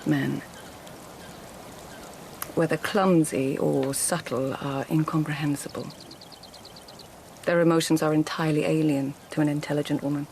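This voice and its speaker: A poised, creaky-voiced court lady. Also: low voice, steady tone, measured pace, long pauses, a little mellow, a little hiss or hum. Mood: thoughtful. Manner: poised, articulate, formal, teasing. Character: shrewd, witty lady-in-waiting at a Japanese imperial court. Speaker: actor in a radio drama. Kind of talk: 2000s radio drama acting.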